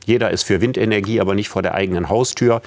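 A man's voice: lowish voice, fast talker. A man interviewing a guest, asking probing questions in a conversational way and building his points while thinking aloud.

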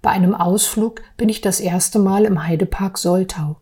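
A woman gives a personal account in a measured, deliberate way. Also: mid-range voice, warm tone, slightly rough. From Berlin, East Germany. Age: 40s. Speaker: artist telling her own story. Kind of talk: personal account for a podcast, partly read aloud.

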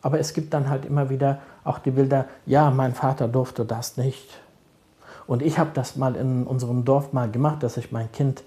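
A middle-aged man, a social worker, answering interview questions calmly and thoughtfully, often pausing to search for words. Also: medium pitch. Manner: calm, measured, searching for words with many fillers. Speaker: social worker advising fathers.

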